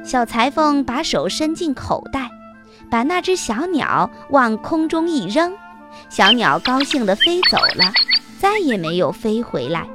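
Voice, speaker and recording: A woman narrating a scripted fairy tale for children as the host, with expressive, deliberate storytelling. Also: a little high, over music.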